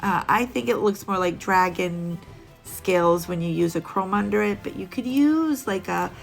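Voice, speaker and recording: A woman's voice: mid-range voice, normal speed, gravelly, soft background music. A woman narrating a casual nail art tutorial, chatty and rambling with little laughs, a bit sniffly.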